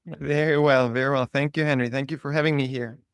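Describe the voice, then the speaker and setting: A priest with a Ukrainian accent recounts his story as an interview guest, his voice smooth and clear at an ordinary middle pitch. He talks in a relaxed, conversational way, pausing on fillers and restarting phrases. The recording is dry and close, with no room sound. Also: no echo.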